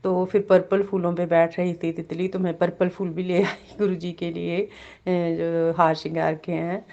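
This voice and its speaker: A woman with a sore throat sharing a devotional testimony, halting with many fillers and repeated blessings. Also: lowish voice, little pitch movement, dark tone, muffled recording. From Jammu.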